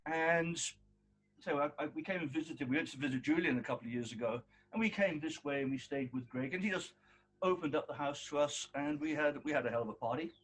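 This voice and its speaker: A man's voice: on the high side, fast talker, fairly bright tone, a little hiss or hum, low-quality recording. A man in his sixties from South Africa, giving an informal eulogy at a wake with a big voice and rambling, fond storytelling full of restarts. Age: 60s.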